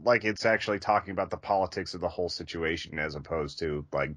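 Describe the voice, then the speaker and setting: A man's voice: sits low, fast talker, fairly bright tone, no room sound. A man co-hosting a podcast, talking casually about films. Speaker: podcast co-host reviewing films. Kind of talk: casual film discussion.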